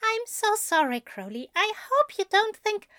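Very high, prim, flustered angel played by a woman. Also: big pitch swings, unhurried, fairly bright tone, dry recording, studio quality, exaggerated voice. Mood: apologetic. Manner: prim, hesitant, apologetic, polite. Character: fussy, flustered, self-deprecating angel man, a reluctant yoga pupil. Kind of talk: audio fiction character acting.

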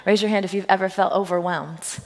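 A woman in her thirties, a senior pastor with a husky voice, giving a playful, joke-filled sermon to her congregation. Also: mid-range voice, a little fast. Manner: playful storytelling, self-deprecating jokes, lively quoted voices. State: husky voice today. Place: church sanctuary. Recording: long reverb of a large hall.

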